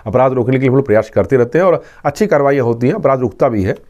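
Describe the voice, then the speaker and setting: Male police inspector, soft dark timbre, earnest and instructive interview delivery. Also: medium pitch, animated, rapid-fire, lo-fi sound. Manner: earnest, instructive, repeats key points. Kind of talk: explanatory interview answers with safety advice.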